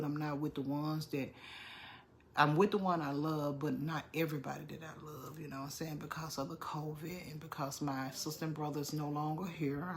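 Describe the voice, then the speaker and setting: A woman's voice: deep voice, gravelly, slight background noise. A middle-aged woman with a congested chest, chatting casually to her viewers, repeating herself and calling them "y'all". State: congested, under the weather. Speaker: vlogger. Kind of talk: casual vlog talk to viewers.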